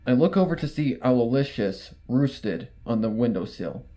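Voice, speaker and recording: A man's voice: medium pitch, animated, normal speed, warm tone. A man reading a story aloud as a scripted first-person narrator, with acted dialogue. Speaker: fan fiction reader. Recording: music bed under the reading. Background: music.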